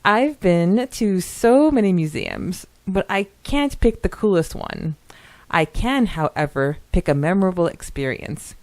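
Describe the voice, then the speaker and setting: Woman podcaster, low-pitched and close-miked. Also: animated, a little slow, a little mellow, no echo. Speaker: podcast co-host. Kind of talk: casual chat, reading out and commenting on listener comments.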